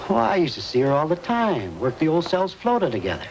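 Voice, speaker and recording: A man's voice: a little high, huge pitch swings, brisk. An actor playing an eccentric older ex-circus man who rambles insistently, in a 1950s radio drama. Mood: rambling. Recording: old radio broadcast with hiss.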